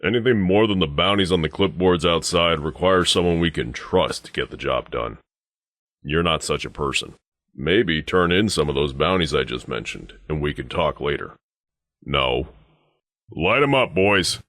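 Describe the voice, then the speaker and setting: Guy doing a super deep gruff guard sarge for a video game, bossy and threatening, dry sarcasm, really dry close sound. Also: normal speed, fairly bright tone, dry recording. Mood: stern, threatening. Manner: curt, commanding, threatening, dryly sardonic. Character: gruff, menacing, suspicious male sheriff's guard sergeant. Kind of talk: scripted game dialogue.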